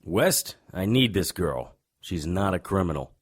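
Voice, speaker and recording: A man playing a gruff, world-weary supernatural investigator in an audio drama, speaking in a wry, terse and insistent way. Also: low-pitched, sing-song, measured pace, heavily acted. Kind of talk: audio drama acting and first-person narration.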